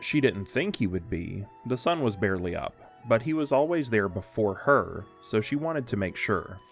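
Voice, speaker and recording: A man with a fairly low voice narrates a fantasy story. His delivery is measured and literary, with faint music running underneath. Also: sing-song, vocal fry. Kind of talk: scripted audio drama storytelling.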